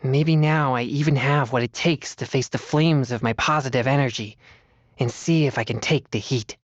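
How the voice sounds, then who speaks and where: Guy voicing a serious teen boy exorcist from a video game, formal and a bit shy, midrange pitch, kinda dull dry audio. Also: dry recording, lightly acted. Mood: earnest, resolute. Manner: formal, measured, earnest. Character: earnest, disciplined teenage boy exorcist, bashful and formal. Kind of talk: video game character voice lines.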